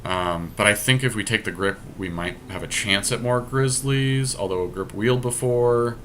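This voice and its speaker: A man's voice: on the low side, moderate pace, a bit bright, slight background noise. A man doing casual think-aloud commentary on a card game draft, decisively explaining his reasoning as he goes.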